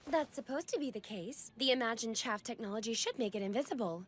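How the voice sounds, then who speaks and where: Actress as anime girl genius, smug, teasing, glib, higher voice, slight noise behind. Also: expressive, fast talker, lightly acted. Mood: pensive. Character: smug, teasing, brainy girl inventor sidekick. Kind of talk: anime English dub acting.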